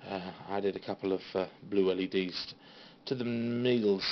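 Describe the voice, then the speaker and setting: Gravelly low-voiced British man. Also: fairly even intonation, moderate pace. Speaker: CB radio hobbyist giving a tour of equipment. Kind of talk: casual informal show-and-tell. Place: small radio room at home. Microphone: handheld phone. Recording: dull phone recording with faint noise.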